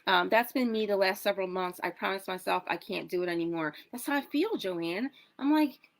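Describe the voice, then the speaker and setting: A woman in her forties talking in a casual social media video about her health, in a chatty, dramatic way, with sudden jumps between loud and soft. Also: medium pitch, fairly quick, vocal fry. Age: 40s. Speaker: wellness product promoter in a clip.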